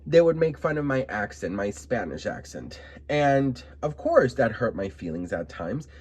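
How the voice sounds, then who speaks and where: Young man, from Miami, sassy, emphatic commentary, lively pitch. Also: measured pace, breathy edge. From Miami, United States. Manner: expressive, sassy, emphatic with frequent "okay?" asides. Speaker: vlogger commenting on celebrity news. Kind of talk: opinionated commentary to camera.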